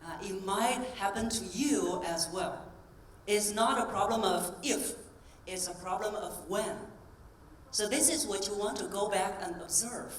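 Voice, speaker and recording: A woman voice coach speaks on stage in a large hall, lively and coaxing as she drills the crowd. Her voice sits at a middle pitch, and it carries from some way off the mic. The room rings around her with faint ambience behind. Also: a little slow, recorded from a distance, slight background noise. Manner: energetic, coaxing, drills the audience. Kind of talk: keynote talk with audience exercises.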